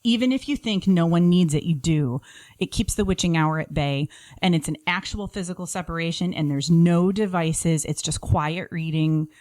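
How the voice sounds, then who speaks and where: A woman's voice: on the low side, normal speed, darkish tone, no room sound. A middle-aged woman giving practical, conversational advice in an instructive, emphatic, plain-spoken way. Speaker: podcast guest giving home-schooling tips.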